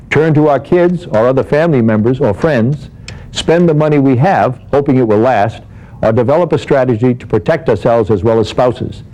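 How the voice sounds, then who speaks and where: An older man giving scripted financial advice in a formal, measured manner, as an expert presenter. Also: mid-range voice, dark tone, rough recording. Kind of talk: scripted advisory monologue.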